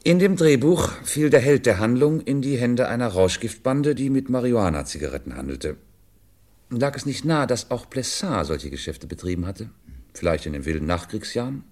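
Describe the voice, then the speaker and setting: An actor playing a shrewd, understanding French police commissioner in a 1950s radio drama, explaining with deliberate, theatrical emphasis. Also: male voice, mid-range voice, sing-song, a little mellow, lightly acted. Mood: explaining, assured.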